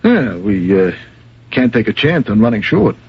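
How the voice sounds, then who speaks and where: A man acting in a radio mystery as a nervous, defensive stage manager under suspicion, halting as he protests. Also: on the low side, big pitch swings, slightly rough, exaggerated voice. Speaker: radio drama actor. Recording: dull old radio broadcast recording.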